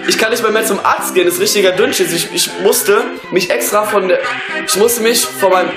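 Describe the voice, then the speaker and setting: A young man presenting prank calls casually, stammering and repeating himself excitedly. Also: sits high, fairly quick, reverberant, music in the background. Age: teens to young adult. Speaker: prank-call show host. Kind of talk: casual online video presenting and prank calling.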